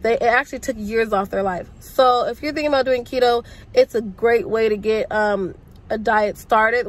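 A young woman talks into a phone held at arm's length inside her parked car. Her voice is congested from a cold. She rambles in a chatty, casual way, full of slang. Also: fairly high pitch. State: sick, congested voice. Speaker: vlogger. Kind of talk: casual chat to camera. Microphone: phone camera at arm's length.

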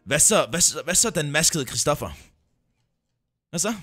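A man's voice: a little high, normal speed, faint music behind. A man hosting a livestream, chatting casually and jokily and often repeating himself. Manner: excitable, jokey, repeats himself. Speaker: livestream host. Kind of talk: casual livestream chat, greeting viewers.

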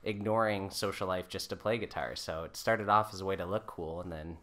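A man in his thirties with a fairly low, bright voice talks casually as an interview guest. He is informal and a bit self-deprecating. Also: fairly quick. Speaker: musician guest. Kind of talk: casual chat.

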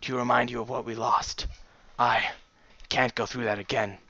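Man as a stern, embittered stallion father, forceful. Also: fairly high pitch, brisk, dry recording, highs cut off, pitched above the performer's own voice. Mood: cold, grieving. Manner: angry, cold, accusing.

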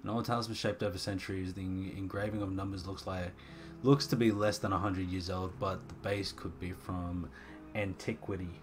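A young man streaming a horror game, chatting casually and reacting in a jumpy, excitable way, muttering to himself. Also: deep voice, a little fast. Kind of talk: casual gameplay commentary. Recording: game music playing behind voice.

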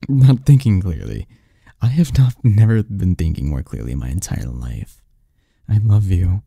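A man playing a lovestruck young research assistant in an intimate ASMR romance roleplay, murmuring haltingly as he confesses to his best friend. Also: sits low, big pitch swings, creaky, mellow, slightly rough, no room sound, clean studio sound, heavily acted. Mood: earnest, pleading. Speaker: audio roleplay performer.

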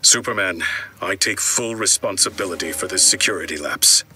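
An actor playing a grave superhero commander in a video game, formal and commanding. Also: male voice, low-pitched, normal speed, bright, forceful tone, soft background music. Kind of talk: video game character acting.

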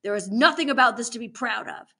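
An indignant male prison warden from a storybook, played by a woman, scolds with hard emphasis. The voice is bright and forceful, pitched fairly high for the part, and full of stern authority. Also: expressive, a little fast, vocal fry, exaggerated voice. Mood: stern lecturing.

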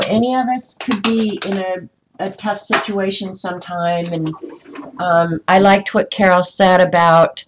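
A woman's voice: fairly low pitch, a little hiss or hum, low-quality recording. A woman with a stuffy, congested voice chatting casually to viewers on a live craft stream, rambling with many ums. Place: indoors at a craft desk.

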